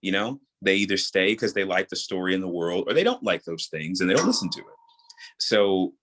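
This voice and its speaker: A man talking as an interview guest, explaining his creative work in a measured, deliberate way. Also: sits low, animated, a bit bright, slight background noise. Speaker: guest, audio drama creator and voice actor. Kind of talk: reflective podcast interview talk.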